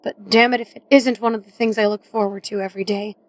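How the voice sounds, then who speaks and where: A woman's voice: medium pitch, steady tone, fast, dry recording, lightly acted. A woman playing a cool psychopomp assassin in an audio drama, with a dry, teasing, reserved manner. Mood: dry, fond. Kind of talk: audio drama character acting.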